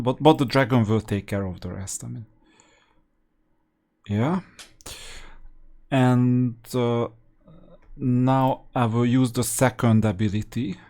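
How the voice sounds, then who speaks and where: A man hosts a gameplay strategy video in a voice of average pitch. He talks casually and explains things step by step, keeping a matter-of-fact manner as he comments on the play. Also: mellow. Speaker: host of a gaming strategy channel.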